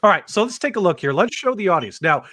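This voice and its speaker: Animated man hosting a casual panel show. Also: high voice, quick pace, no room sound, studio quality. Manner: emphatic, teasing, playful. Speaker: host of a video game movie show.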